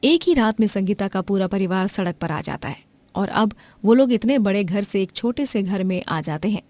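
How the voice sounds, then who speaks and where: A woman's voice: medium pitch, lively intonation, moderate pace, darkish tone, no echo. A woman giving plain, scripted narration for a cartoon moral story.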